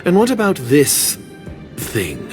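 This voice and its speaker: A man playing a wary, secretive foreign agent in a fantasy video game, measured, dry and condescending. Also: a little high, wildly swooping pitch, heavily acted. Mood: suspicious. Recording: game music behind the voice.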